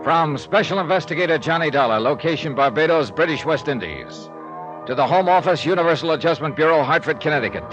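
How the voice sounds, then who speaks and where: Actor doing tough weary radio private eye, wry, lively pitch swings, old broadcast w music. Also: male voice, quick pace, dull audio, lightly acted. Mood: businesslike. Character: tough, world-weary male freelance insurance investigator. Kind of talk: 1950s radio detective acting and narration.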